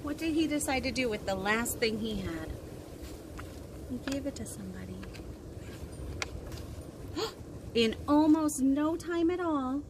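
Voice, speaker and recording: A woman in her thirties leading an interactive storytime for young children, expressive and sing-song, asking lots of questions. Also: high voice, unhurried, pauses often. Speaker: children's librarian hosting storytime. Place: outdoors on a wooden deck by trees. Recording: windy outdoor recording with clear noise. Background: wind and outdoor ambience.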